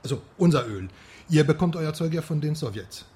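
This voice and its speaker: An actor playing a talkative, teasing Western journalist with a theatrical manner in a radio crime drama. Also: male voice, fairly high pitch, animated, brisk, warm tone, natural voice. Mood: playful musing. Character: chatty, mocking Western male journalist in East Berlin.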